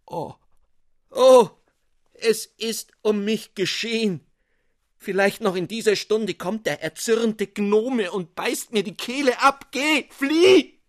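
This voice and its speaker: A man's voice: slow, dry recording. A storybook father, elderly, pompous and easily frightened, acted by a male narrator. He speaks in grand, theatrical phrases with a lamenting edge. The sound is dry and close. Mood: panicked, despairing. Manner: theatrical, lamenting, high-flown speech. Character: pompous, fretful elderly father dabbling in mysticism, melodramatically despairing.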